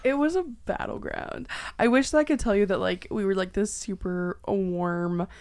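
A woman's voice: medium pitch, normal speed. A young Canadian woman hosting a podcast. She talks in a bubbly, outgoing way, casually swapping stories and banter, with lots of "like" in her sentences. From Ontario, Canada. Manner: bubbly, loud, uses "like" heavily. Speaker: podcast host. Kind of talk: casual bantering chat and storytelling.

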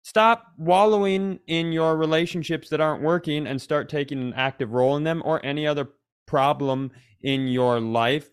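A man's voice: mid-range voice, clear, resonant tone, dry recording, clean studio sound. A man co-hosting a comedy podcast, chatting casually in a rambling, bantering storyteller's way.

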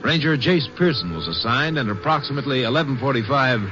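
A man's voice: mid-range voice, animated, fast. A man with an American accent narrating a 1950s radio drama in a formal, matter-of-fact way. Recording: muffled 1950s radio recording. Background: music.

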